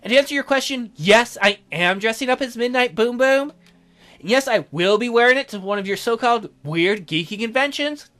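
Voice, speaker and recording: Man putting on an excitable high-pitched nerdy young woman. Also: faint music behind. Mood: testy. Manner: indignant, emphatic, fast-swinging.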